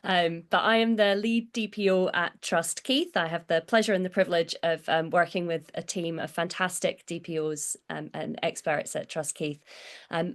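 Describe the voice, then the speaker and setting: A woman with a fairly low voice introduces herself over a video call. She speaks in a friendly, measured way with a touch of self-deprecating humor. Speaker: lead data protection officer.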